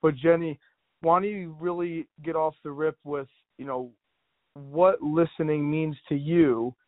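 A man's voice: a little high, fairly even intonation, measured pace, dry recording. A man co-hosting a podcast, easygoing and slangy as he hands over to his co-host. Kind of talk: casual podcast talk. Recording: dry, tinny phone-like sound.

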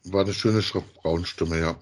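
A man, chatting casually as a podcast co-host, thinking aloud haltingly and repeating himself. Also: low voice, steady tone, husky. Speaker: podcast co-host reviewing audio dramas. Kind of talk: casual chat, reviewing audio plays.

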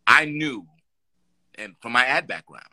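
A middle-aged man talks in a bright, forward voice. He tells his stories with lively energy, restarting his sentences as he goes, like a guest sharing anecdotes in an interview. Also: medium pitch, a little slow. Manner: animated, lively storyteller with restarts. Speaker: guest actor. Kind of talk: storytelling in an interview.

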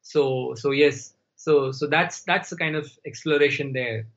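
A man from India, a Tamil speaker, talking slowly and thoughtfully as a podcast guest over a remote call. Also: medium pitch, muffled recording. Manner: slow, searching, thinks aloud. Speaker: guest storyteller. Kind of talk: reflective interview talk.

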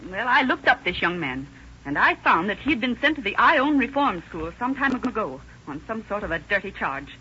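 A woman's voice: medium pitch, big pitch swings, moderate pace, pushed and bright. A woman playing a fretful, self-righteous middle-aged widowed mother in a 1930s radio drama. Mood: indignant, fretful. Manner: prim, wordy, self-righteous. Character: fretful, indignant widowed mother of teenage daughters. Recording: scratchy muffled old-time radio recording.

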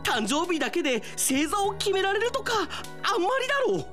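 A man acting a brash, aggrieved high school boy in a melodramatic, exclamatory radio drama style. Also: very animated, a little fast, bright, forceful tone, faint music behind, heavily acted. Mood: indignant.

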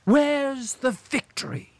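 Sardonic high-pitched male diplomat, teasing and ironic, slow deliberate delivery, dry close sound, as an audiobook narrator puts him on. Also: darkish tone, no room sound, exaggerated voice. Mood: mocking. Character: sardonic witty male diplomat, mocking and urbane. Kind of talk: audiobook character reading.

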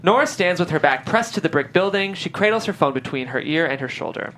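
A woman's voice: fairly low pitch, expressive, quick pace, a bit bright, a little hiss or hum. A woman reading stage directions as scripted narration at a table read.

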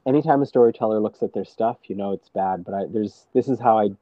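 A man telling stories as a guest, talking in a hesitant, halting way with many restarts, seated at home on a video call. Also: fairly low pitch, highs cut off. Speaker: storyteller guest. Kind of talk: casual conversation.

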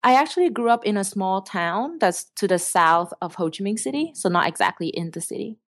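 A woman's voice: medium pitch, animated, fairly quick, no echo, clean studio sound. A young woman from Vietnam with a Vietnamese accent, earnestly explaining her work at length in an interview. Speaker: startup cofounder guest. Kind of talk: interview answers.

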